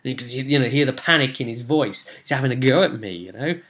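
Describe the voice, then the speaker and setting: A man, a game master telling the story of a role-play session in a casual, animated way. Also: mid-range voice, quick pace. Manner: animated storytelling, acting out players' reactions. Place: small room at home, indoors.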